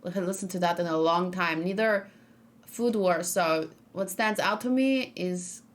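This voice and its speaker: A woman's voice: on the low side, a little slow. A young woman chatting casually on a live stream, thinking aloud and reading out chat messages as she reacts to game trailers.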